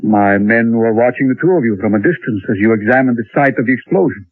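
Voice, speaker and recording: A man playing a suave Martian who poses as a rancher in an old-time radio drama, over-polite and unhurried, with quiet menace. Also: mid-range voice, no room sound, close to an everyday voice. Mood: insinuating. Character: suave, courteous male Martian posing as ranch owner. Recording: dry, tinny old radio recording.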